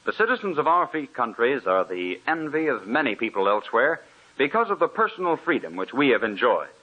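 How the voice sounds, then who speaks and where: A man's voice: medium pitch, big pitch swings, fairly quick, breathy edge. A man as a radio announcer reading a scripted 1940s sponsor address in a formal, earnest, oratorical way. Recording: muffled old radio recording.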